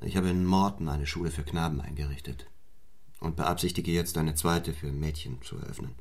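A stern young parson for a radio drama, his voice very low. He speaks formally and deliberately, questioning and commanding with restless, unbending ambition. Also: fairly even intonation. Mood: earnest, intense. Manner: measured, formal, probing, commanding. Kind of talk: German radio play acting.

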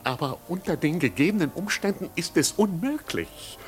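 A man's voice: a little high, expressive, normal speed, natural voice. A devoted, fussy manservant from a 1950s radio play, acted by a man. His phrasing is pompous and stiffly formal, carried on swooping intonation, with music behind. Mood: gravely pompous. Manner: stilted, formal, long-winded phrasing.

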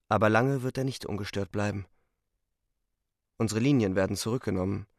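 An actor playing a young, weary front-line soldier who narrates a radio play in a sober, restrained, matter-of-fact way. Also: male voice, medium pitch, dry recording, studio quality, close to an everyday voice. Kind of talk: scripted radio drama narration. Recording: music faintly behind the voice. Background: music.

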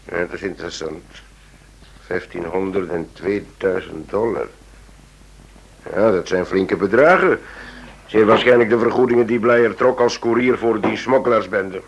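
An actor playing a shrewd, dry, commanding titled police chief in a radio play. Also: male voice, on the low side, moderate pace, creaky, slightly rough, lo-fi sound. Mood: pondering. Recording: radio play with faint noise.

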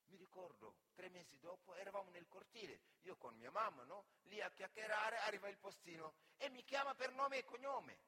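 Animated storyteller, bright forceful male voice. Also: high-pitched, moderate pace, few pauses, breathy edge. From Senegal. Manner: animated, acts out dialogue, addresses the audience. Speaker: actor and griot storyteller. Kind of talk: theatrical autobiographical monologue.

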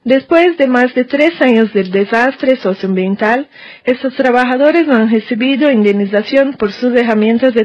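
Woman, fairly high voice, news correspondent, steady formal read, dry muffled sound. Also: dry recording. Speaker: radio correspondent. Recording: dry, dull-toned studio recording.

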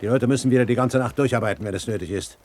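A man playing an authoritative old pirate captain giving brisk orders in a 1970s German radio drama. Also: mid-range voice, a little mellow, slight background noise, close to an everyday voice. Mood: commanding. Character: commanding old pirate captain, great-uncle of the narrator.

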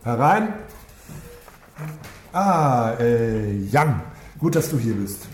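Male police chief, radio crime drama: mellow but curt and commanding, roomy acoustic with faint noise. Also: mid-range voice, very animated, extremely slow, soft background music. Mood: businesslike. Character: brusque, senior police chief pressing a young officer.

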